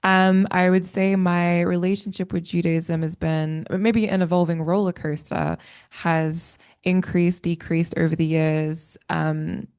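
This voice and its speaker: A woman's voice: fairly low pitch, little pitch movement, unhurried, a little mellow, clean tone. A young woman, a law student, answering as a panel guest, hesitant with many fillers. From Australia and Sri Lanka. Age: young adult.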